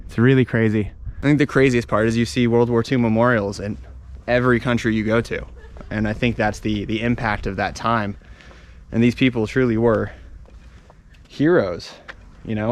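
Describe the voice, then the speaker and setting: A man's voice: on the low side, slight background noise. A man talking casually and informally as a travel vlogger, explaining things unscripted while walking around outdoors. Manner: chatty, informal, explaining as he explores.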